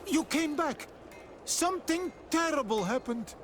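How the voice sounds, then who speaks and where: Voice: high voice, expressive, a little slow, noisy background. An actor playing an anxious islander merchant in a video game, earnest and pleading. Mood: distressed. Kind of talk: video game cutscene acting.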